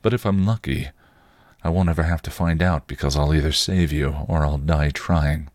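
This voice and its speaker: A man's voice: a bass voice, normal speed, a little mellow, no echo. A man voicing a cocky male vampire, taunting and insistent, in a story reading. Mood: intense. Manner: provocative, insistent, goading.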